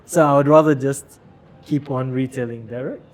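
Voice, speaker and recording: A male fashion founder from Rwanda talking candidly as an interview guest. He speaks at a middle pitch, haltingly, often restarting words as he gathers his thoughts. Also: fairly even intonation, darkish tone, faint music behind.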